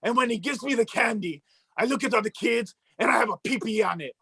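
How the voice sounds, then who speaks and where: A man voicing a boastful mustached male puppet who shouts and brags like a cartoon villain, with a gravelly, husky voice. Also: very high voice, quick pace, no echo, heavily acted. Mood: bragging. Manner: shouting, bragging, mock-villainous. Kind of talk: comedic puppet bit on a talk show.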